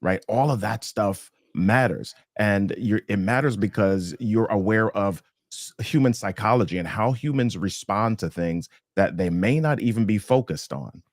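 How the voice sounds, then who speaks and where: A man's voice: fairly low pitch, normal speed, few pauses, dry recording, studio quality. A man, a guest business owner, explaining a point on a live panel and often checking in with "right?". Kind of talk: panel discussion. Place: home studio with accent lighting.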